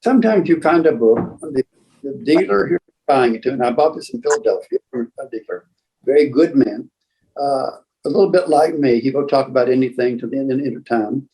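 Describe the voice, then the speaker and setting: Mellow-voiced older man telling stories. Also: medium pitch, low-quality recording. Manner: rambling, halting raconteur who acts out remembered exchanges. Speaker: museum founder and book collector, guest storyteller. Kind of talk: casual anecdotal storytelling.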